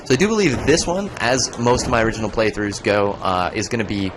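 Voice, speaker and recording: A man's voice: fairly low pitch, fairly quick, noisy background. A young man streaming a video game, chatting casually, rambling and joking as he plays. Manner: rambling, joking, breaks into goofy voices. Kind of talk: casual gaming commentary.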